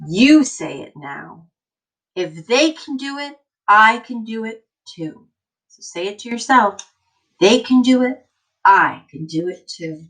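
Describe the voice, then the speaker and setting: Slow, encouraging woman reading to children. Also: fairly high pitch, animated, fairly bright tone. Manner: encouraging, emphatic, addressing children directly. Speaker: teacher reading a picture book aloud to children. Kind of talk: children's read-aloud with encouraging asides.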